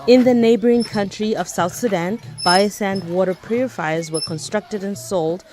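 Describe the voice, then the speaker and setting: A woman reading scripted documentary narration in a measured, informative way. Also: mid-range voice. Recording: voice-over with soft music behind.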